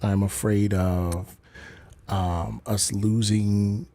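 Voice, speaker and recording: A man calmly questioning a kid in a casual online voice chat, patient and probing. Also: fairly low pitch, very slow. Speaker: streamer talking to a kid online.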